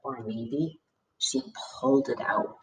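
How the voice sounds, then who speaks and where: Female storyteller for an expressive novel read-aloud; lowish voice, roomy and distant sound. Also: takes it slowly. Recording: echoey room, distant from the mic.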